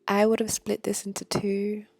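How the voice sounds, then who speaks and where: A woman's voice: mid-range voice, completely flat delivery, moderate pace, vocal fry, a little mellow, no room sound, soft background music. A young woman hosting a podcast, giving a friendly, offhand solo sign-off to listeners. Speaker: podcast host.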